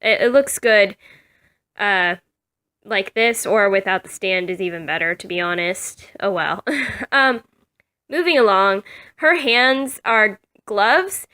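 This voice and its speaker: A woman with a voice slightly husky from a cold, chatting casually with lots of ums and self-corrections as she shows off dolls she customized. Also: mid-range voice, takes it slowly, sharp tone. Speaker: doll customizer showing her work. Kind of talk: casual show-and-tell vlog. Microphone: phone camera.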